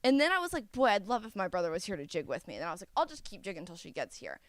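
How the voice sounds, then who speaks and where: A woman's voice: medium pitch, sing-song, racing, no room sound. A young woman co-hosting a podcast in casual, playful chat, giggling often. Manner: giggly, playful, tries silly accents. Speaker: podcast co-host. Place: indoor set.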